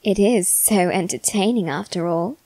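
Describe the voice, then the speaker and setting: A woman's voice: medium pitch, normal speed, mellow, dry recording, close to an everyday voice. A woman playing a teasing, haughty young male demon servant in a theatrical, mock-polite ASMR roleplay. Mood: teasing, smug. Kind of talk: ASMR character roleplay addressed to the listener.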